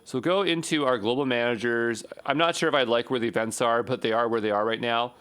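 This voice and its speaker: A man with a mid-pitched voice works through a coding tutorial as an instructor. He talks casually and off the cuff, reasoning aloud as he goes. His sentences ramble and often end in a quick check for agreement. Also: fairly even intonation, a bit bright, smooth. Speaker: programming instructor. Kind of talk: unscripted screencast coding tutorial, thinking aloud.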